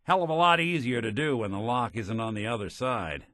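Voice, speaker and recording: An actor playing a weary, wry but kind synth private detective in a video game, with an American accent and a folksy old-time gumshoe delivery. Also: male voice, mid-range voice, animated, a little fast, pushed and bright, no echo, studio quality. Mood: casual, wry. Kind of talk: noir detective game dialogue.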